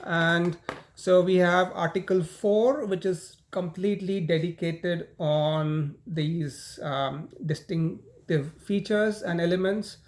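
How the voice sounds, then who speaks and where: Man, Indian accent, middle-aged, law lecturer, slightly high voice, conversational, filler-heavy. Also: slow. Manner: conversational, frequent "you know" and "um", repeats words. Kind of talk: recorded university lecture.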